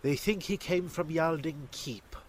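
A man's voice: fairly high pitch, a little slow, slight background noise, close to an everyday voice. A man playing a grave, courteous villager in an audio drama, speaking in a formal, old-fashioned way.

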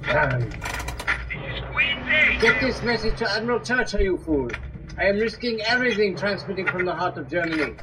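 An actor playing an exasperated undercover spy sending a desperate message, urgent and agitated, in an audio drama. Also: male voice, high voice, expressive, moderate pace, pauses often. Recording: loud noise, clicks and mechanical rattle.

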